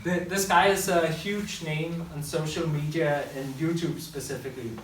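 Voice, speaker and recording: A man's voice: fairly high pitch, steady tone, moderate pace. A man giving a public talk to an audience, loose and unscripted, often correcting himself mid-sentence. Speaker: public speaker and school teacher. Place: room with audience. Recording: echoey room from far off, faint hiss.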